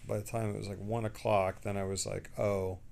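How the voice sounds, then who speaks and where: A middle-aged man who sounds tired, chatting casually on a livestream in a rambling, halting way and trailing off mid-sentence. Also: fairly low pitch, little pitch movement, creaky, a little mellow, a little hiss or hum.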